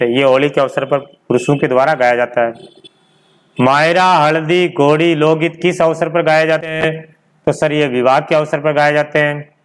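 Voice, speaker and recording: A man's voice: a little high, smooth. A man teaching an informal exam-prep class, repeating key points and posing questions that he answers himself.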